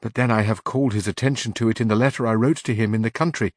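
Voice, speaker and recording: A man's voice: on the low side, steady tone, fast, no room sound, natural voice. Male reader voicing a vengeful noblewoman, impassioned, declamatory, dry. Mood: brooding. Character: proud indignant noblewoman, vengeful.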